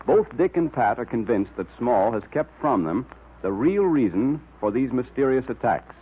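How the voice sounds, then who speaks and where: A man's voice: mid-range voice, sing-song, normal speed, slightly rough. A male radio announcer in 1940s style, delivering scripted adverts and story narration with emphatic salesmanship. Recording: tinny vintage radio recording.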